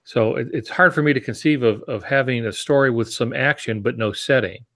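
A man's voice: mid-range voice, brisk, a bit bright. A man in his sixties giving casual, reflective advice as a podcast co-host on a video call from a home office. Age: 60s. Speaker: podcast co-host, experienced writer. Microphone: video call on earphones.

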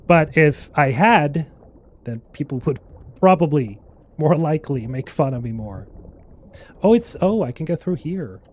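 A man in his thirties from Sweden streams a game and keeps up a joking, rambling chat with his viewers. His voice sits a little high for a man. He is heard through a headset mic in a small room. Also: lively intonation, unhurried. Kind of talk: casual gameplay chat.

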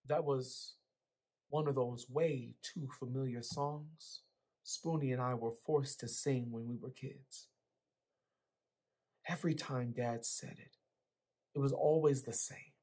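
A man in his twenties giving an expressive dramatic reading of first-person fiction. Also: mid-range voice, takes it slowly, long pauses, husky. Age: 20s. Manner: expressive, acts out the dialogue. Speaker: actor reading aloud from a novel. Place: small plain room indoors.

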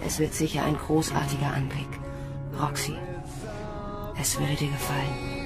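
An actress playing a resigned young woman space soldier who narrates her fate in measured, literary monologue in a radio drama. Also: low-pitched, monotone. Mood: resigned, fatalistic. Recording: loud music under the voice.

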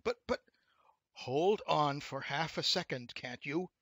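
Man playing a testy, forceful storybook devil. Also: on the high side, big pitch swings, moderate pace, no room sound, clean studio sound, full character voice. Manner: testy, curt, imperious. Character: testy, commanding male devil, impatient ruler of hell.

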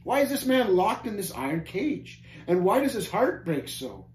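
A man voicing an earnest, tender-hearted pilgrim in a storybook tale, gentle and pleading. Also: sits high, big pitch swings, moderate pace, pitched above the performer's own voice. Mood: gentle concern. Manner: gentle, pleading, old-fashioned storybook phrasing. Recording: roomy echo, faint background noise.

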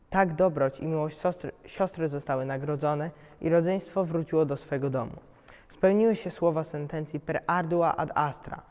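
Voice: medium pitch, darkish tone. A young teenager reading a scripted story as narrator. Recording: reverberant hall.

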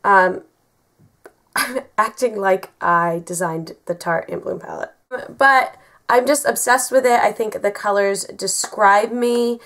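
A young woman in her twenties, raspy from a cold, chatting through a makeup tutorial in a bedroom, full of ums and likes. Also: mid-range voice, slow pace, fairly bright tone. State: getting over a cold. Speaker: beauty vlogger. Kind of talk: casual makeup tutorial talk. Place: bedroom, indoors.

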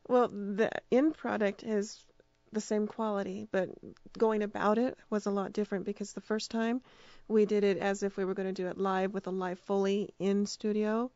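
A woman's voice: mid-range voice, level tone, normal speed, dark tone, no room sound. Woman interviewee, dry and slightly dull sound. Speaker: radio drama producer and director, interviewee. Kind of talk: casual interview answers.

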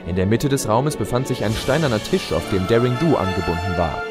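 A man's voice: on the low side, fairly quick, music in the background, natural voice. A man playing an eager adventurous pony hero, expressively narrating his tale in an audio drama. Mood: storytelling. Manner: expressive, storytelling.

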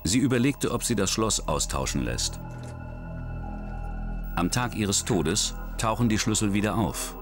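A man narrating a crime documentary in a measured, dramatic scripted style. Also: lowish voice, lively intonation, music in the background.

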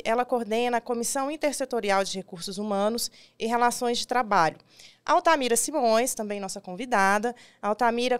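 A Brazilian woman in her thirties presents a podcast in a studio. Her voice sits fairly high and sounds smooth and clear as she introduces topics and puts questions to guests. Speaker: podcast host. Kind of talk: scripted introduction and interview questions. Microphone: desk studio microphone on boom arm.

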